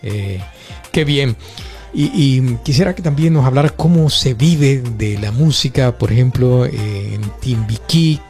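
A male interviewer with a gravelly, creaky voice at a medium pitch. He asks questions in a courteous, conversational way with measured pauses, over faint music. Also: a little mellow. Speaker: radio or show interviewer.

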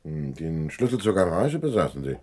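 Low-voiced, probing police inspector. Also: male voice, big pitch swings, a little mellow, full character voice. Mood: probing, courteous. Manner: measured, official, probing. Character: methodical male police inspector, probing, courteous, stern. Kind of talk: vintage German radio crime play acting.

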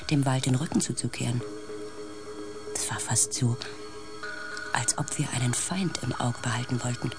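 A man's voice: medium pitch, close to an everyday voice. An actor playing a thoughtful, uneasy male member of a space expedition in a radio play, speaking in a hesitant, intimate way. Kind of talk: German science fiction radio play acting and narration.

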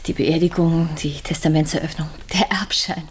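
A middle-aged widow in a radio crime drama, played by an actress. Her voice sits slightly low and she speaks in a reserved, polite and terse manner. There is some faint noise behind her. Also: steady tone, moderate pace, close to an everyday voice. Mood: polite, subdued. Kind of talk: German radio drama acting.

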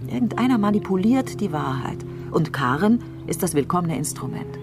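An actress playing a troubled, brooding politician in a crime radio play, speaking reflectively and intensely, sometimes halting. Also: female voice, lowish voice, sing-song, music in the background. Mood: bitter, pensive. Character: troubled, brooding female politician doubting the official truth. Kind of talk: dramatic radio play acting.

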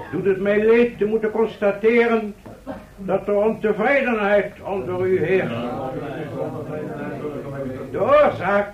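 Pompous high-voiced mayor. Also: male voice, far from the mic. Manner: declamatory, ceremonious, stumbling into self-correction. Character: pompous, flustered town mayor presiding over a restless assembly. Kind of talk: formal speech in a radio play. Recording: dull echoey radio recording, crowd noise.

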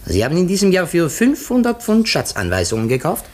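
An actor in a 1950s German radio play playing a shrewd, courteous foreign detective, deliberate and theatrical, dropping in French phrases. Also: male voice, sits high, huge pitch swings, full character voice. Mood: brisk.

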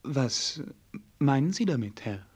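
A man's voice: medium pitch, big pitch swings, slow pace, darkish tone, slightly rough, full character voice. A young man acting an ardent, restless, lovestruck prince in a German radio play, with formal, poetic diction. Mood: guarded, polite.

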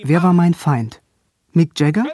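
A man's voice: a little high, unhurried, dark tone. A man playing a wry, bookish young man who narrates his youth in a radio drama, articulate and self-ironic. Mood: indignant irony. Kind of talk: scripted first-person radio drama narration. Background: music.

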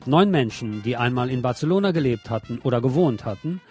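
A man presents a radio news magazine from a script, speaking at a middling pitch. Music plays along with his narration. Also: expressive, fairly quick, darkish tone.

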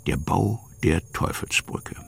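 A man with a gravelly, husky voice narrating a literary radio drama in short, weighty, dramatic phrases. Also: sits low, takes it slowly, fairly bright tone, exaggerated voice.

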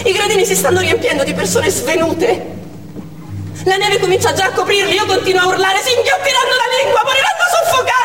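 An anguished woman doctor delivering a dramatic theatre monologue. Her voice is high, intense and deliberate, breaking the account into short, urgent phrases. It rings with long reverb in a hall, picked up from a distance. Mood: desperate, urgent. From Armenia (the character). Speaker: stage actress. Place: indoor theatre stage. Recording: echoey theatre hall, distant mic, faint noise.

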